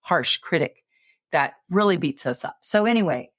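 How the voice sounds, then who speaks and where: A woman's voice: lowish voice, normal speed, no room sound, studio quality. A woman in her late fifties, a podcast guest giving coaching advice in a conversational way, full of fillers and restarts. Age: 50s. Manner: animated, many fillers and restarts.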